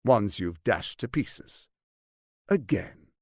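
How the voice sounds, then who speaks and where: An actor playing a suave, ambitious, scheming lord in a video game, speaking in a theatrical, articulate and condescending way. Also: male voice, on the low side, animated, slow pace, darkish tone, dry recording, clean studio sound. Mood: cold, condescending. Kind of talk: dramatic video game voice acting.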